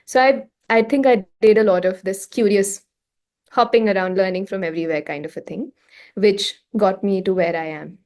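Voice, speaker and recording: A woman from India with an Indian accent, answering casually in an interview, chatty and expressive, slipping into Hindi phrases. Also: medium pitch, moderate pace. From Raipur, India. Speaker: singer-songwriter, interview guest.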